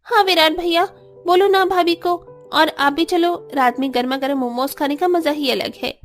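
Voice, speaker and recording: Woman acting a very high-voiced, demanding young sister-in-law. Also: moderate pace, faint music behind, close to an everyday voice. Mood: pleading. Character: young sister-in-law, whiny, demanding, momo-crazy schoolgirl. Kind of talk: Hindi cartoon story acting.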